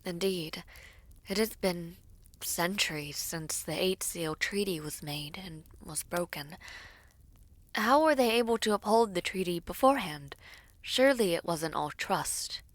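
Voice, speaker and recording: A woman playing a poised, regal villainess princess in a fantasy audio roleplay, with measured, formal diction and a commanding but caring temper. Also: on the low side, lively intonation.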